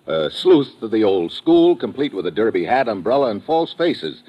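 An actor playing a wry, hard-boiled American secret agent in an old radio drama. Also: male voice, fairly low pitch, big pitch swings, moderate pace, warm tone, slightly rough, no echo. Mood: breezy, wry. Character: wry, tough male American secret agent narrating his own case. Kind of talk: 1950s radio drama acting. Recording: dull old radio recording, music behind.

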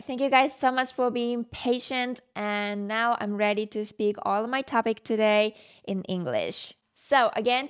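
A woman's voice: mid-range voice, a bit bright. A woman from Japan with a Japanese accent, a native Japanese speaker, hosting a casual solo podcast in a conversational, explanatory way. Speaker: podcast host.

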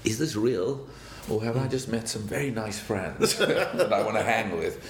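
Older south african guy, lowish voice, thinks out loud with little laughs, roomy sound w faint hum. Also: lively intonation. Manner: thoughtful, halting, with chuckles. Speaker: guest, banker. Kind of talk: interview storytelling.

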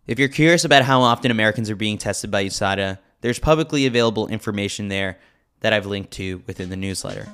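A man's voice: mid-range voice, a bit bright. A man reading a scripted sports newsletter as a podcast host, with wry, opinionated commentary.

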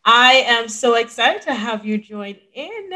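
A woman's voice: on the high side, sing-song, measured pace, bright, forceful tone. A woman hosting a podcast interview, upbeat and casual, with many fillers. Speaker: podcast host.

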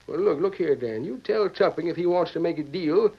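A gruff old American stage line owner in a radio Western. His voice is high and husky with lively swings and a blunt country drawl. The recording is old and dull. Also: brisk, full character voice. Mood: stubborn, indignant. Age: older. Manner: folksy frontier drawl, blunt.

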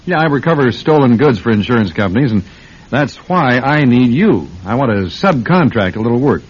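A man's voice: mid-range voice, lively intonation, a little fast, slightly rough. An actor playing a polite, persuasive businessman with a shady offer, courteous and a little hesitant, in an old-time radio drama. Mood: coaxing. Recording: muffled old radio recording.

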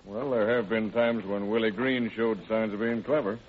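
A laconic, skeptical deputy, acted by a man, speaks in a lowish voice. The vintage radio drama recording is tinny, with noticeable noise. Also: fairly even intonation, normal speed, natural voice. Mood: dry, skeptical. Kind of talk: old-time radio crime drama acting.

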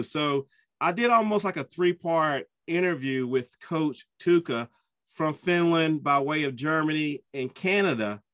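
A man hosting a soccer podcast, chatty and enthusiastic, often jumping up in pitch when he gets excited. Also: no room sound. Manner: enthusiastic, chatty, with sudden upward pitch jumps. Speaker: podcast host. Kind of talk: casual podcast interview.

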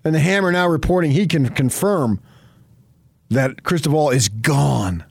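A man's voice: on the high side, a little slow, gravelly, breathy edge, no room sound, clean studio sound. A middle-aged man with a New Jersey accent, talking sports off the cuff in a rambling, emphatic, conversational way as a radio host. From New Jersey, later Arizona. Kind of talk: unscripted sports talk.

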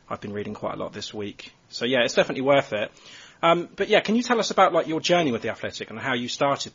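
Man, podcast host, husky mid-pitched voice, rambling friendly questions, dull lo-fi audio. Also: fairly bright tone. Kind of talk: casual podcast interview.

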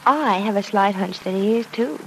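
A woman's voice: medium pitch, moderate pace, gravelly, fairly bright tone. Teasing young woman, old-time radio. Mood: teasing, warm. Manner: teasing, conversational. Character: teasing young single woman investigator, good-natured and candid. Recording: noisy vintage radio broadcast recording.

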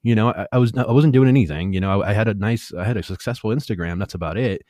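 A man in his late thirties with a lowish voice chats with a guest. He stumbles and repeats words as he goes, friendly and easygoing. The sound is dry and close. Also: quick pace, mellow, no echo. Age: 30s. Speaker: youtube channel host, interviewer. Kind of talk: casual interview chat.

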